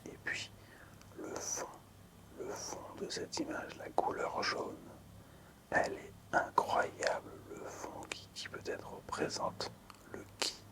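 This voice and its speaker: A man speaks in a constant whisper, in a soft ASMR style. His delivery is unhurried and hesitant, with pauses between short phrases, like a creator quietly presenting things to his viewers. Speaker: video creator showing collectible cards.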